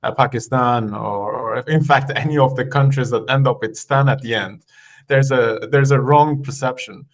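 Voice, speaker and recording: A man from Iran, a podcast guest, talking in an unhurried, conversational way with frequent fillers and restarts. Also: mid-range voice. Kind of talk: conversational interview.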